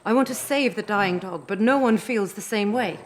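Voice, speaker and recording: A woman playing a compassionate, resolute heroine in intimate, deliberate first-person dramatic narration. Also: mid-range voice, lightly acted. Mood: anguished, urgent. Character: compassionate, resolute heroine recounting mercy-killing a dying dog. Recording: clearly noisy background.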